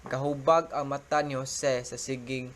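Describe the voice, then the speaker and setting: A young man narrating a student radio drama in a plain, steady reading voice. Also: mid-range voice, a little slow. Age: young adult. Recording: music playing behind. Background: music.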